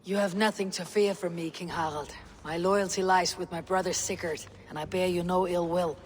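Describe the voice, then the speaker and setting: An actress playing a loyal, guarded Viking warrior, plain-spoken in dramatic game dialogue. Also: female voice, on the low side, steady tone, normal speed, lightly acted. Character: loyal, steady Viking warrior of the Raven clan. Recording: faint ambient background noise.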